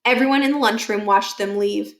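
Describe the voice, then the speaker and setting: A woman reading a children's book aloud in an expressive storytelling style. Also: mid-range voice, fairly quick. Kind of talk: expressive read-aloud narration.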